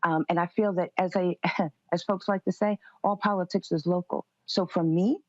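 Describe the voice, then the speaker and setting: A woman with a lowish voice answers as an interview guest. She is measured and firm, placing her points deliberately, and the sound is dry and somewhat dull. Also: fairly even intonation, no echo. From United States. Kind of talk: live political interview answers.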